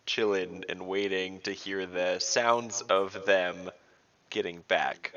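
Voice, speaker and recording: A man's voice: low voice, level tone, takes it slowly, pushed and bright. A man playing an online tabletop game, chatting casually over a video call. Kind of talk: casual chat. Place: indoors at home, on a group video call. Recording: dull video call audio.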